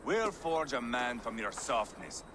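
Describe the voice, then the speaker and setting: An actor playing a brutal, foul-mouthed Viking warrior in a game cutscene, sneering and bullying with menace. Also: male voice, mid-range voice, very animated, measured pace, noisy background. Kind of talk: video game cutscene acting.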